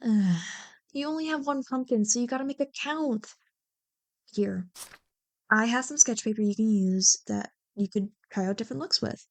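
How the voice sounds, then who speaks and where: A woman playing a playful, teasing young childhood friend who loves Halloween, in an animated, bantering ASMR roleplay. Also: a little high, normal speed, lightly acted. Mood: mock-exasperated. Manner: animated, bantering, mock-exasperated. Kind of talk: ASMR roleplay, one-sided scripted conversation.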